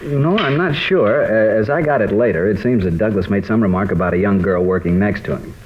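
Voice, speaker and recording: A muffled, slightly hissy old radio drama voice: an earnest American schoolteacher played by a man. He is low-pitched and quick, explaining himself with troubled hesitation. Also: close to an everyday voice. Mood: troubled, dismayed. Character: earnest, troubled male high school science teacher. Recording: dull, lightly noisy old radio recording.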